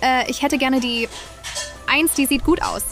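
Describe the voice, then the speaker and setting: A woman's voice: high-pitched, fairly even intonation, unhurried, over loud music. A woman playing a polite, curious traveller in a comic audio drama. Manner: polite, questioning.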